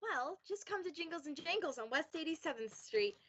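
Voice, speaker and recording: A woman's voice: high voice, animated, fairly quick, fairly bright tone, lo-fi sound, slight background noise. A woman playing a cheery shop saleswoman reading a scripted radio advert. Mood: cheery, promotional.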